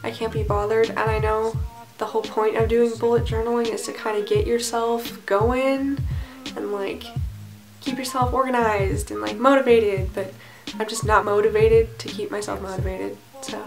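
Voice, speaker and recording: A woman's voice: on the high side, fairly even intonation, normal speed, faint music behind. A teenage girl vlogging to camera from her bedroom, chatting and rambling in a self-deprecating way. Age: teens. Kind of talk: casual chat to camera. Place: bedroom, indoors.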